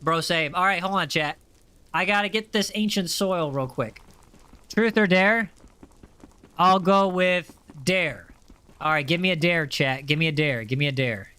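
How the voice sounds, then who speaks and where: Young american guy streaming, high bright voice, super dry close mic, chatty and hyped. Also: unhurried, clean tone, no room sound. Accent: general American. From USA. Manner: upbeat, chatty, reads chat aloud. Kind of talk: casual gaming livestream chat.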